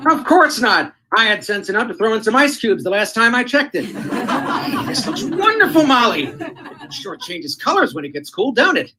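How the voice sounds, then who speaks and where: A man's voice: very high voice, very animated, moderate pace, crowd noise. A man playing a boastful, cheerful husband with a folksy manner in an old-time radio comedy.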